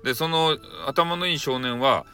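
A man's voice: a little high, a little slow, bright, forceful tone, soft background music. A man narrating a bedtime folktale in Hakata dialect, rambling with fillers and playful asides. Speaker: storyteller.

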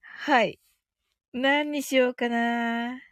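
A woman with a high voice hosts a casual live stream, recorded close and dry. She sounds cheerful and giggly, often thinking aloud while chatting with listeners and reading their comments. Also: fairly even intonation, very slow, no room sound. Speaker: host of a live audio stream.

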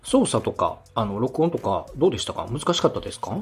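A man's voice: mid-range voice, very animated, quick pace, slightly rough, faint music behind. A man hosting a podcast interview as a radio director, speaking politely and encouragingly. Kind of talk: structured podcast interview with feedback.

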